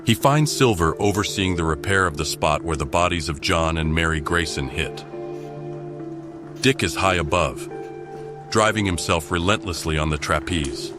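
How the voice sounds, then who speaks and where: A man's voice: sits low, moderate pace. A man reading dramatic scripted narration for an audio drama. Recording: loud music underneath.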